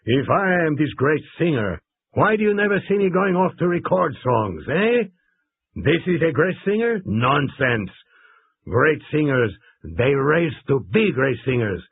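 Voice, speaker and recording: A man's voice: on the high side, big pitch swings, measured pace, creaky, slightly rough, pitched above the performer's own voice, exaggerated voice. A man voicing a theatrical, boastful male road worker with a Mexican accent who loves singing opera. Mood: fervent. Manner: theatrical, fervent. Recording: tinny phone-like radio sound.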